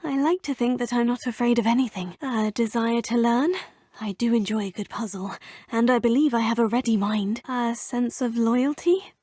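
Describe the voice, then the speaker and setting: A plucky young wizarding student from a video game, curious and quietly self-assured. Her voice sits a little high and carries a soft breathiness. The recording is close and dry. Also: brisk, slightly rough, no room sound. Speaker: player character voice in a video game.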